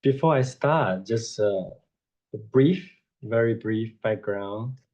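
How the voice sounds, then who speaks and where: A man gives an informal dharma lecture over a video call, unhurried and rambling, with frequent "you know" and self-corrections. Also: fairly low pitch, darkish tone. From Taiwan. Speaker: Buddhist teacher giving a talk. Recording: dull lo-fi online audio.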